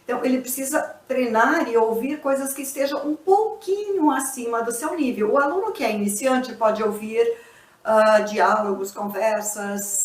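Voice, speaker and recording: A woman's voice: on the high side, recorded from a distance. A young adult woman, an English teacher and native Portuguese speaker, explaining a lesson in a didactic way and often checking in with tag questions. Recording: echoey room, voice a bit off-mic.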